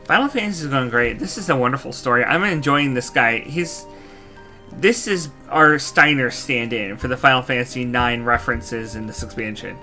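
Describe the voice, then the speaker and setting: A man's voice: mid-range voice, moderate pace, pushed and bright. A man chatting casually as he comments on a game live stream. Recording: music behind the voice. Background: game music.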